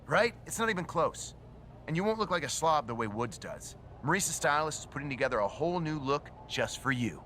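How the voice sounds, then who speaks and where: A man's voice: fairly high pitch, very animated, a little fast, pushed and bright, slight background noise. A man playing a slick, self-assured showbiz promoter in a video game, talking emphatically and persuasively. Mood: dismissive, selling. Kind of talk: scripted video game cutscene acting.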